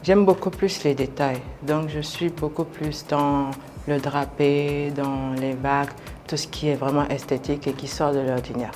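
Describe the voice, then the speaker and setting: A woman in her thirties, a fashion contestant, talking in a chatty, relaxed way. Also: low voice, a little slow, faint music behind. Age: 30s. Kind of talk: casual interview.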